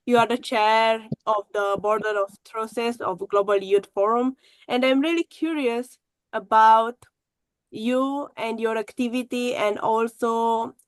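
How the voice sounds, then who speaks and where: A woman's voice: on the high side, no echo. A woman moderating an online forum over a video call, introducing speakers in a friendly manner.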